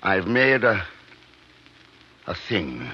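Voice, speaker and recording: Troubled husky-voiced doctor in radio drama. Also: male voice, deep voice, very animated, heavily acted. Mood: anxious, urgent. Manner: halting, anxious, earnest. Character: troubled, secretive doctor confiding a frightening experiment. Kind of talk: 1950s radio drama acting. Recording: dull vintage radio recording.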